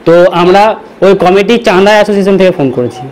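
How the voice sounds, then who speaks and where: A man's voice: sits high, fast talker, slight background noise. A man with a Bengali accent making an improvised prank phone call, talking in a pushy, insistent way. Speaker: prank caller.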